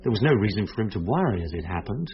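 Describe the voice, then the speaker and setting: An actor playing a calm, reassuring police detective who explains things gently in a radio drama. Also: male voice, on the low side, quick pace. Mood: matter-of-fact. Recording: slightly dull radio recording.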